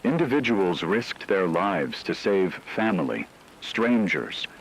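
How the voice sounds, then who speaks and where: A man's voice: sits low, big pitch swings, slow pace, creaky, slight background noise, poor audio. A man reading a scripted emergency radio broadcast as a newscaster, in a grave, solemn manner. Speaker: radio newscaster in a horror story.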